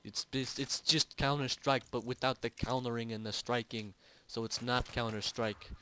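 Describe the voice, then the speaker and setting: A young man casually commentating on a shooter game, joking and getting loud when excited. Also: mid-range voice, normal speed, slight background noise. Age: young adult. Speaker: gaming channel host. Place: home, recorded late at night.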